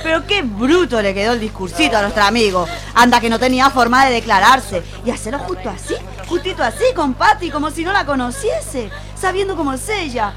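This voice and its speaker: A woman acting as a protective market vendor. She is no-nonsense and colloquial, in a radio play with clear music underneath. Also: high voice, lively intonation, a little fast, hardly any pauses, fairly bright tone. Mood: indignant, concerned. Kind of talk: audio drama acting.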